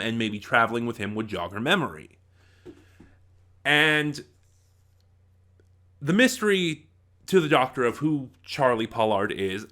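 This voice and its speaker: A man giving an informal spoken review as a video host, often halting and rephrasing himself as he thinks aloud. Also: medium pitch, bright, forceful tone.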